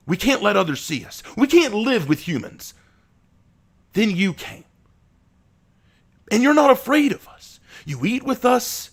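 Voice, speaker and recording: A man voicing a tormented young male vampire with a volatile temper, who bursts into shouting rage and anguish. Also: very deep voice, voice pushed down.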